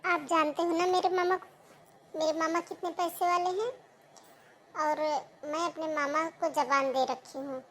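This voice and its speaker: A woman's voice: very high voice, fairly even intonation, normal speed, long pauses, fairly bright tone. Woman voices a manipulative cartoon sister-in-law, coaxing, cold underneath. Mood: insistent. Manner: coaxing, then blunt and cold.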